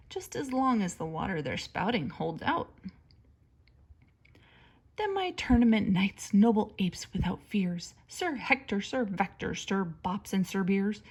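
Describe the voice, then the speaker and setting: A woman reading a children's story aloud like a teacher, with expressive, theatrical emphasis and a breathy edge to her voice. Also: medium pitch. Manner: dramatic, theatrical emphasis on words.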